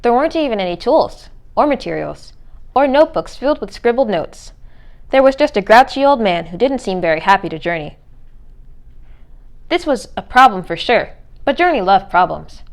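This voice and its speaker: A woman's voice: medium pitch, big pitch swings, normal speed. A woman reading a children's storybook aloud as narrator. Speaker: read-aloud reader.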